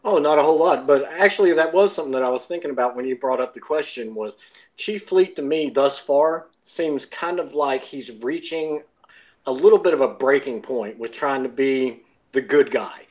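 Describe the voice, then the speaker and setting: A man's voice: mid-range voice, moderate pace, a little mellow, poor audio. A man talking casually as a participant in a panel discussion.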